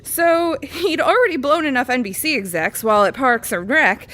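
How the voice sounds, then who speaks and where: A woman's voice: high-pitched, lively intonation, normal speed, a bit bright. A woman reading a bawdy comic parody story aloud as a storyteller. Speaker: comedy storyteller.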